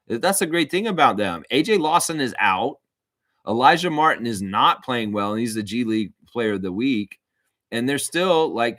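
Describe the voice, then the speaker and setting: Casting: male fan commentator, lowish voice, informal live basketball calls and opinionated asides, recorded dry. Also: fairly bright tone, no room sound. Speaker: host and amateur play-by-play commentator of a livestream watchalong. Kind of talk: live basketball commentary mixed with casual chat to viewers.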